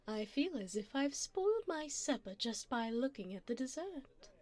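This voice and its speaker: A woman's voice: sits high, full character voice. A woman voicing an elegant, flirtatious vampire in an ASMR roleplay, speaking formally and teasingly to the listener with endearments.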